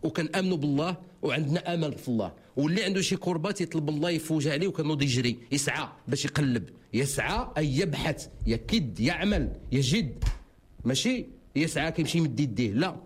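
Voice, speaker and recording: A man with a Moroccan accent giving legal advice to callers on a radio call-in show, fast and insistent. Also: fairly high pitch, animated, breathy edge. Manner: fast, insistent, repeats himself. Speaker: legal expert answering callers.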